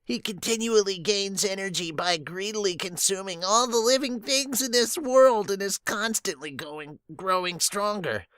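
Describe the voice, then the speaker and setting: A man hosting a podcast, casually reading and reacting to a manga, expressive with laughs and swearing. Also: high-pitched, moderate pace, hardly any pauses, clean tone, no echo. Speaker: podcast host.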